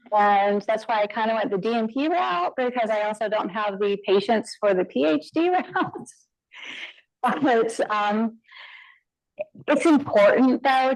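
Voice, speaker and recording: A woman's voice: mid-range voice, dry recording, poor audio. A woman nurse informaticist giving a conference presentation into a mic, conversational with frequent fillers and asides.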